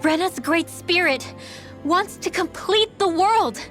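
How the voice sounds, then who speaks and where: High-voiced earnest young girl adventurer. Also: takes it slowly, fairly bright tone, faint music behind, exaggerated voice. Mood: shaken, earnest. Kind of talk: dramatic video game dub acting.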